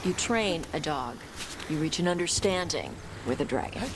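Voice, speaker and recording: Brash, animated woman dragon hunter. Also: deep voice, normal speed, noisy background. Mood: matter-of-fact. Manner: blunt, casual, folksy phrasing. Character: brash, gruff female dragon hunter, proud and protective. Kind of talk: video game voice acting. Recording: loud game music behind.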